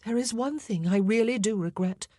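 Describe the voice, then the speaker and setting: A fragile, faithful young queen facing death, her voice pitched high and breaking into trembling whispers, as a woman reader puts her on. The tone is husky. The recording is close and dry. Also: moderate pace, mellow, no echo, lightly acted. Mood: wistful. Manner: trembling, breathy, often whispered pleading.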